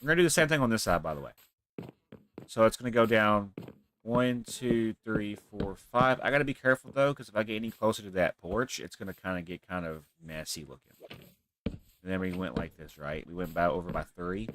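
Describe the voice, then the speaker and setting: A man with a low, deep voice talks casually while playing a game, thinking out loud as he goes. His nose is stuffy from a cold. Soft clicking sits faintly behind him. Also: fairly quick, a little hiss or hum. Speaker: gaming channel host. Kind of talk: casual gameplay commentary.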